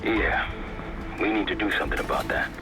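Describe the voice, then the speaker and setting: An actor playing a calm military operative with a casual, matter-of-fact manner in a game cutscene. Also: male voice, lowish voice, brisk, music in the background, close to an everyday voice. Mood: casual, practical. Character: calm male military operative on comms, laid-back. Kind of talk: video game cutscene acting.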